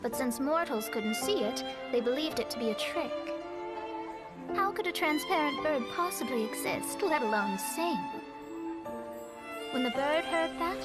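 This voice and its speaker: A woman playing a proud, thoughtful young woman in a video game, speaking in deliberate, formal phrases. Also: high-pitched, expressive, loud music behind the voice. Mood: solemn storytelling. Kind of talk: video game cutscene acting.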